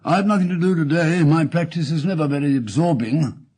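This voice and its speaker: A man with an English accent voicing a plain-spoken, mildly puzzled gentleman companion in an audiobook reading. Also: fairly high pitch, brisk, voice pushed up, natural voice. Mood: puzzled, frank. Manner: plain-spoken, polite, frank. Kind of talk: dramatic audiobook character reading.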